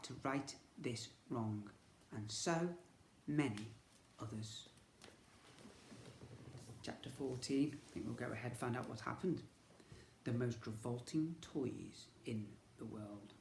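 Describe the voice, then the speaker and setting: Guy reading a storybook to little kids, super expressive up and down delivery, echoey room. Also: mid-range voice, gravelly, slight background noise. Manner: dramatic, expressive. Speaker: teacher reading to young children. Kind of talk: animated children's story narration.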